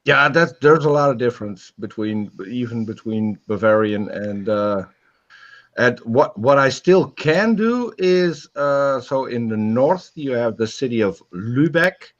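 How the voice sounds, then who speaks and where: Slow-talking man. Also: medium pitch, animated. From Netherlands. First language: Dutch. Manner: hesitant, many uhs and repeated starts. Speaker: guest in a live chat. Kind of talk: casual live-stream chat. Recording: dull lo-fi stream audio.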